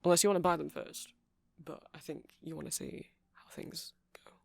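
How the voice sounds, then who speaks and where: A performer playing a friendly, nerdy vampire music shop keeper in an ASMR roleplay, rambling softly and apologetically to a customer with hesitant, casual speech. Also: medium pitch, steady tone, moderate pace, gravelly, breathy edge, no echo, clean studio sound. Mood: chatty, apologetic. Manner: soft, rambling, hesitant, self-deprecating. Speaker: store clerk.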